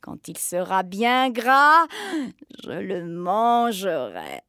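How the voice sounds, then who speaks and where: Wicked old witch, high and swooping, slow and drawn out, cackling, hissing whispers, storybook. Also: very animated, no room sound, studio quality, heavily acted. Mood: snarling. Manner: cackling, honeyed then snarling, hissing whispers. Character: wicked old female witch, greedy, cruel, child-eating. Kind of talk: storybook reading.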